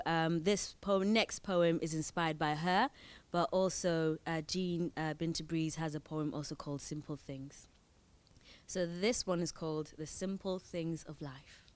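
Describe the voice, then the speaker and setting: A woman from Zimbabwe who is a spoken word performer, reading her poems on stage with short spoken introductions. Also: on the low side. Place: indoor event venue.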